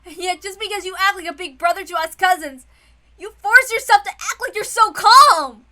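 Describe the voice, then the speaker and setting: A woman's voice: extremely high pitch, moderate pace, bright, forceful tone, pitched above the performer's own voice, heavily acted. A woman voicing an anguished, hot-blooded teenage boy with emphatic pleading, in a visual novel read-aloud. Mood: impassioned grief.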